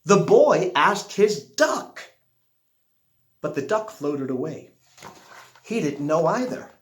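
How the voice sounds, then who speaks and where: A man reads a children's storybook in an echoey room. His fairly high voice swings widely up and down. He takes his time, drawing out words for dramatic effect. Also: sing-song, slow. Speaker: storybook reader. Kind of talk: expressive children's picture book read-aloud.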